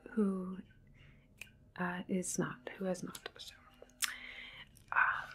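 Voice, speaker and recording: A woman playing a calm, reassuring government doctor in an ASMR medical roleplay, soft-spoken and partly whispering, with a hesitant, clinical manner. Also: on the low side, breathy edge.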